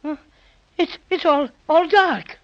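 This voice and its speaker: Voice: extremely high pitch, takes it slowly, a bit bright, heavily acted. An actor voicing a small, boastful, friendly magical toy bear in a 1930s children's radio serial. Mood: alarmed. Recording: muffled 1930s radio recording.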